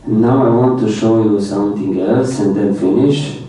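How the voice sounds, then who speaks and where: A young man who grew up speaking Romanian talks through his slides in simple English, repeating words as he goes. His voice sits low and dark. The very echoey lecture room and the distant mic make him sound far away. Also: moderate pace. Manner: plain speech in non-native English with many repetitions, light laughs. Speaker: foreign student presenter. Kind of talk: informal slide presentation.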